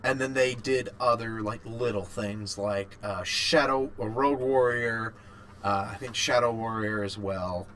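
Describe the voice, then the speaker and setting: A man co-hosting a podcast in casual chat, rambling and haltingly repeating his phrases. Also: fairly low pitch, fairly even intonation, bright, forceful tone, slight background noise. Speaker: podcast co-host. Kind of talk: casual chat about video games.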